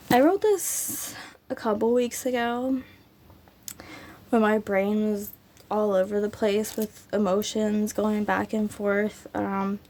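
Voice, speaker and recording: Slow, gravelly sick woman reading poetry. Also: mid-range voice, slight background noise. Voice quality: raspy. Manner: slow, even, unhurried delivery with frequent ums. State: sick, raspy throat. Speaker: poetry channel host. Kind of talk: casual chat and reading her own poems.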